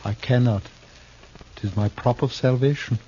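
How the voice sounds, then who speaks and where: An actor in a 1950s American radio drama playing a desperate, bitter, misshapen Shakespearean player turned fugitive, with theatrical, archaic speech. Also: male voice, medium pitch, normal speed, warm tone, lightly acted. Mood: cornered, pleading. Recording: dull, noisy 1950s radio recording.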